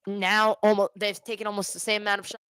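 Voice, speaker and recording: A woman's voice: medium pitch, little pitch movement, fast, a bit bright, clean tone, dry recording. A fan's livestream watch party, where a woman calls the hockey informally and corrects herself as she goes, recorded dry. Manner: exasperated Devils fan, self-correcting, explains plays informally. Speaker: play-by-play commentator hosting a fan watch party. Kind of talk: live amateur hockey commentary.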